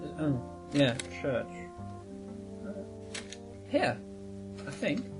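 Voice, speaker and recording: Performer as an animated, flustered sceptic. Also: mid-range voice, drawn-out words, heavily acted. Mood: searching. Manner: hesitant, expressive, exasperated. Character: flustered, sceptical local helper at a church. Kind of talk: sci-fi radio drama acting. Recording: music under the voice.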